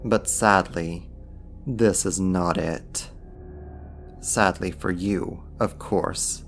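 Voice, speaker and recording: A man voicing a powerful, smugly dominant archdemon in an ASMR roleplay, mocking and menacing with a theatrical, deliberate delivery. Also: low-pitched, a bit bright, soft background music, heavily acted. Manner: theatrical, taunting, slow and deliberate.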